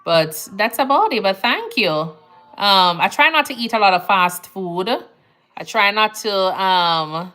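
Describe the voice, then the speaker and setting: A woman's voice: medium pitch, slow. A woman from Jamaica with a Jamaican accent, chatting casually on a livestream and reading out viewer comments. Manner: relaxed, chatty, laughs easily, Jamaican phrasing. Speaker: nurse livestream host.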